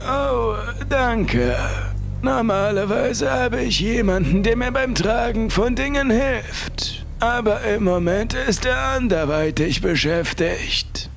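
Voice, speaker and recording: A man voicing an old blind priest who speaks slowly and softly in a high, strained voice. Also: gravelly, heavily acted. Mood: gentle. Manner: slow, soft, high and strained. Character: old blind male priest, gentle and slow. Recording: faint music underneath.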